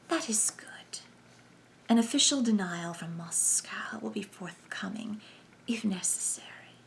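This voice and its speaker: A woman voicing a sinister male conspiracy chief who speaks in a soft, sibilant whisper with a cold, menacing manner. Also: high-pitched, lively intonation, measured pace, vocal fry, a little hiss or hum, exaggerated voice, low-quality recording.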